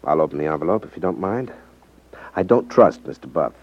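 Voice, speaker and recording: An actor playing a sly, cool, confident trickster who feigns innocence in a 1950s radio drama. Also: male voice, sits low, expressive, a little fast. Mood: sly, self-assured. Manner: coy, unruffled, feigns innocence. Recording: muffled 1950s radio recording.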